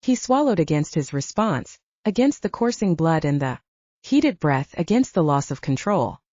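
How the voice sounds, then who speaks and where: A woman's voice: low voice, big pitch swings, normal speed, warm tone, dry recording. A woman narrating a paranormal romance audiobook as scripted storytelling, in a slightly dull, dry recording.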